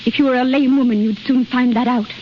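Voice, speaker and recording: A woman's voice: sits high, fairly even intonation, fairly quick, natural voice. A woman acting a proud, anguished young daughter, intense and earnest in a 1930s radio drama. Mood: anguished, impassioned. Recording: muffled, noisy 1930s radio recording.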